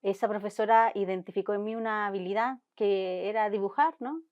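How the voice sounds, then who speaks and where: Woman, Chilean accent, marine biologist guest, mid pitch, thoughtful, dull recording. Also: a little fast, darkish tone. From Chilean Patagonia. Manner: thoughtful, searching for words, frequent restarts. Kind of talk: conversational interview.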